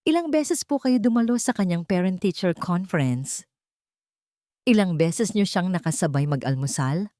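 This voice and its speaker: Cold storybook courtroom lawyer, male played by a woman, Filipino accent, measured and cutting, animated intonation. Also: lowish voice, no echo, studio quality, lightly acted. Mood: cold, accusing. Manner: measured, accusing. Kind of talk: audio storybook character voice.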